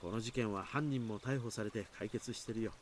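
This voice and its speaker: A man's voice: on the low side, racing, slight background noise, close to an everyday voice. An actor playing an easygoing man in a visual novel, explaining things in a reassuring, long-winded way. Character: easygoing adult man calmly explaining away village curse rumours. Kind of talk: Japanese visual novel voice acting.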